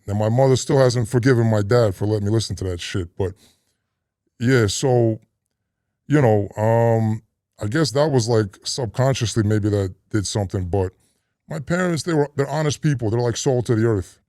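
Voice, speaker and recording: Man, low mellow voice, dry close sound, laid-back rambling talk. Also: normal speed, dry recording. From grew up in a Russian immigrant neighborhood in the US. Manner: relaxed and meandering, many likes and restarts. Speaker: interview guest, retired robber. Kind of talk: casual conversational interview.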